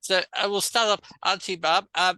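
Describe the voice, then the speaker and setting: Bright-voiced man hosting a podcast. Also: sits high, fairly even intonation, moderate pace, smooth, no echo. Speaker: podcast host. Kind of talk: interview hosting.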